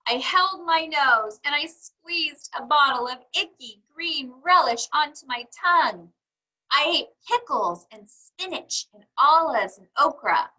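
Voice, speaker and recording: A woman reading a storybook to young children, encouraging them and pausing for answers to her questions. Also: sits high, expressive, sharp tone, rough recording. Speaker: read-aloud teacher for young children.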